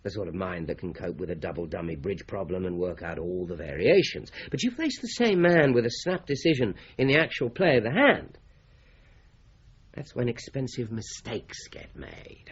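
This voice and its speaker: A man playing a shrewd, methodical British police inspector in a radio drama, brisk and authoritative. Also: deep voice, very animated, breathy edge, full character voice. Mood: thoughtful, dogged. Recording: slightly dull radio drama recording.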